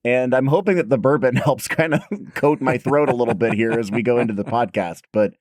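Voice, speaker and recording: A man hosting a podcast with a voice worn hoarse and raspy, at a middling pitch. He tells stories in a chatty, self-deprecating way, recorded close on a dead, dry mic. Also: fairly quick, a little mellow, dry recording. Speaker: podcast host. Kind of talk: casual podcast chat.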